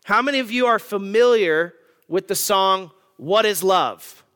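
A man's voice: sits high, unhurried, a bit bright, smooth. A man in his thirties preaching a sermon on marriage in a conversational, joking way, asking the congregation questions. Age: 30s. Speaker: pastor.

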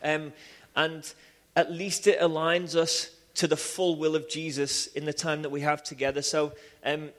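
A man from Liverpool with a Liverpool accent, preaching a sermon with personal testimony in an animated way, full of restarts and "um"s. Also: a little high, measured pace. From England. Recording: long hall reverb.

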